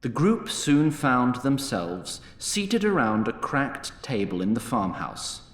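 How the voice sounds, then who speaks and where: A man giving scripted narration for a fantasy audio drama, in a wry and deliberate manner. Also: mid-range voice, very animated, echoey room.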